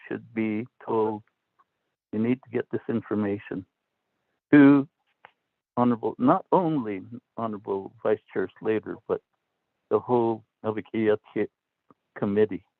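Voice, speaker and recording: A man questions an official in a slow, deliberate and stern way. His voice comes through dry and tinny, as over a phone line. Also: lowish voice, fairly even intonation, dry recording. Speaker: council delegate. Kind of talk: formal committee questioning.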